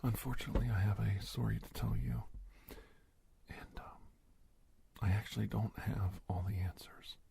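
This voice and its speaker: A man playing a calm, reassuring government agent in a soft-spoken ASMR roleplay, breathy and gravelly, hesitant and gentle, often dropping toward a whisper. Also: lowish voice, normal speed, long pauses, slight background noise. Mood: gently persuasive.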